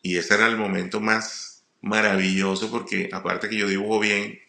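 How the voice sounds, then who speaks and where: A middle-aged man with a Venezuelan accent tells personal stories informally, often halting and repeating words. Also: on the low side, little pitch movement, gravelly, pushed and bright. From Puerto La Cruz, eastern Venezuela. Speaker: comedian, guest speaker. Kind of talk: personal storytelling monologue. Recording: roomy echo.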